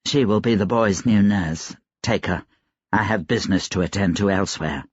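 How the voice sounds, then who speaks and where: A man voicing a cold, educated gentleman lord in an audiobook, quiet and steady, calmly threatening. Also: sits low, slightly rough, no room sound, highs cut off. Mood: commanding. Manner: calm, collected, deliberate threats. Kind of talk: audiobook character dialogue.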